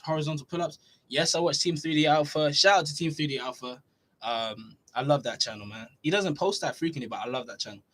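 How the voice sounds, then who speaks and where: A young man from Norway, raised largely elsewhere, who hosts a relaxed live Q&A for his fitness channel, reading viewer questions aloud and answering them in a chatty way. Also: fairly high pitch, slightly rough. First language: Norwegian. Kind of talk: casual livestream Q&A.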